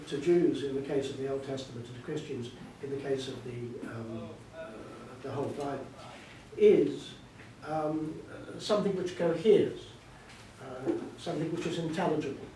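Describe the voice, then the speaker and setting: An older man with a slightly high voice talks as a scholar at a live event, circling his points and stressing them by repetition. The room is very reverberant and he sounds some way from the microphone, with faint noise behind him. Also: normal speed, mellow. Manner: discursive, emphatic, repeats himself for stress. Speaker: author and scholar being interviewed. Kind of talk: extended spoken explanation at a book event. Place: indoor event hall. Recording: echoey hall, distant mic, faint noise.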